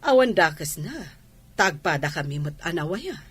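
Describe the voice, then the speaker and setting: An actress with an Ilocano Filipino accent playing a trusting, doting wife and restaurant owner in a radio drama. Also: female voice, fairly low pitch, very animated, moderate pace, fairly bright tone, slightly rough, full character voice. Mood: calm, reassuring. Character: trusting wife who owns a restaurant, doting on younger lover.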